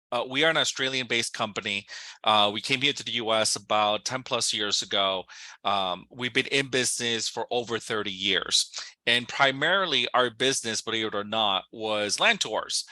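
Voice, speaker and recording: A man's voice: mid-range voice, sharp tone, no room sound. A man giving an enthusiastic, promotional sales presentation, speaking loosely with lots of fillers. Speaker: regional sales director.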